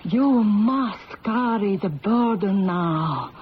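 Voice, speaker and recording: Old italian fortune teller lady, super slow and ominous, radio play, muffled old tape. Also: medium pitch, animated. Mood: solemn, insistent. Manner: slow, portentous, incantatory. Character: dying old Italian fortune-teller woman, solemn and commanding. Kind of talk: 1970s radio drama acting. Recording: muffled, faintly noisy old radio broadcast.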